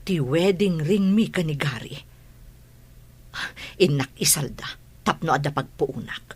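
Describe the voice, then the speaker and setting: A woman playing a troubled wife musing to herself in a slow, husky voice in an audio drama. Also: low voice, expressive, darkish tone, full character voice. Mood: brooding, resolved.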